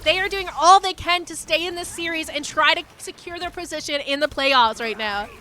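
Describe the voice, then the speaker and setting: Bright, high-voiced woman esports caster. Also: a little fast, slight background noise. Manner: excitable, urgent delivery. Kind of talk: live play-by-play game commentary.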